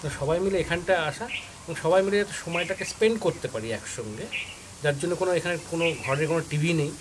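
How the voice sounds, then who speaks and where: A man with a West Bengal Bengali accent answers an interviewer in an explanatory, conversational way. His pitch is a little raised. Outdoor ambience and birdsong make the recording clearly noisy. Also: fast. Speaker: resort owner being interviewed.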